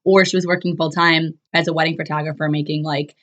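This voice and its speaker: A woman with a cold that makes her voice sound lower and rough, giving a chatty, upbeat recap as a business coach with plenty of fillers and restarts. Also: flat delivery, quick pace, a bit bright. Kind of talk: casual solo recap talk to camera.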